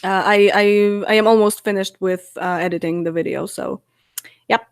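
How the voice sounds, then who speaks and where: A Polish woman in her twenties chatting on a live stream, answering viewers' questions with frequent laughs and halting fillers. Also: mid-range voice, creaky, no echo, clean studio sound. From Poland. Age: 20s. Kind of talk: casual live stream chat.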